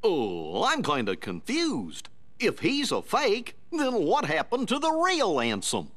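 A man's voice: high-pitched, wildly swooping pitch, slow, fairly bright tone, full character voice. A man voicing a goofy, kindly cartoon dog in a video game, with a drawling, bumbling, folksy delivery. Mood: puzzled.

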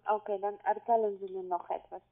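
A woman with a foreign accent speaking on a phone call as a call-centre agent, insistent, with halting non-native phrasing. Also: medium pitch, level tone. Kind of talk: phone conversation. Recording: tinny narrow phone line.

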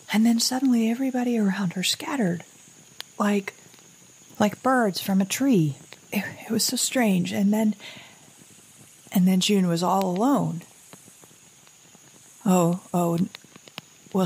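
A woman playing an anxious, wry middle-aged mother surviving after an apocalypse, in a halting, rambling audio drama monologue. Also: mid-range voice, husky, low-quality recording. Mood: haunted, tense.

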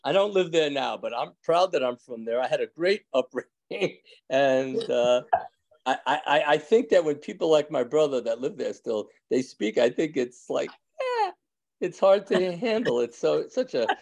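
Older rabbi with a thick New York accent, gravelly, chatty, on a home video call. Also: male voice, on the high side, sing-song, moderate pace, darkish tone. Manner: rambling, joking, laughs often, many restarts. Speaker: rabbi teaching an evening class. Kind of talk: casual chat with class members. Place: indoors at home. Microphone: video call from a phone or webcam.

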